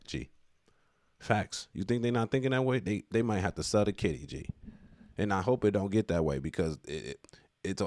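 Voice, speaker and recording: A man's voice: low-pitched, darkish tone, no room sound, studio quality. A man giving casual, unscripted opinion commentary in a slangy, emphatic way, often repeating phrases to drive a point home. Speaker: commentator on current affairs.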